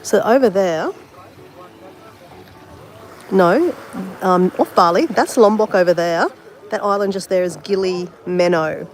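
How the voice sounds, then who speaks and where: A woman's voice: medium pitch, a little slow, pauses often, low-quality recording. An Australian woman with a cold and a slightly sniffly voice chats casually to her viewers while she paints. She teases herself and keeps the talk loose and friendly. Faint outdoor noise sits under her voice. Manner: chatty, self-mocking, talks straight to viewers. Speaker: travel vlogger. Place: outdoors by the sea on an island.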